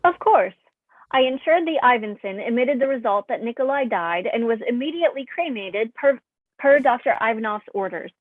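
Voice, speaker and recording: A woman's voice: a little high, expressive, normal speed, dry recording, narrow, tinny audio. A woman playing a formal, precise, literal artificial intelligence assistant in a staged play reading. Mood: explanatory.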